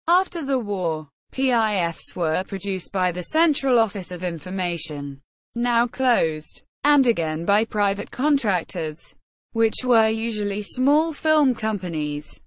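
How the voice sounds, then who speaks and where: A woman reading an encyclopedia article aloud as scripted narration in a formal, impersonal, measured manner. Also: mid-range voice, no echo.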